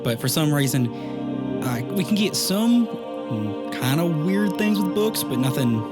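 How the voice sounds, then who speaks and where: A man's voice: a little high, very animated, a little slow. A man playing in a tabletop game, improvising casually in a tentative, explanatory way. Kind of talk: casual improvised role-play. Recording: prominent music under the voice.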